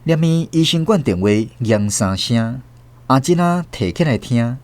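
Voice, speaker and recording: A man's voice: medium pitch, expressive, unhurried, a little mellow, slight background noise. A man with a Taiwanese accent narrating a scripted story dramatically as a radio host.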